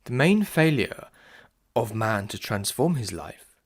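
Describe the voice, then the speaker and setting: A man giving a solemn scripted reading of philosophical writing, measured and declamatory, leaning firmly on key words. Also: medium pitch, big pitch swings. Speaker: reader.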